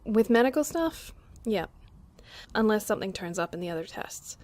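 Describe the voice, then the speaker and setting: A woman's voice: medium pitch, animated, normal speed, a little mellow, lightly acted. Busy, considerate young woman medic, played by an actress. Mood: casual, apologetic. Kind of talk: amateur audio drama acting.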